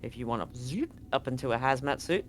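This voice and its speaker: A man narrating a tabletop horror game as game master, in a relaxed, descriptive way. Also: medium pitch, fairly quick.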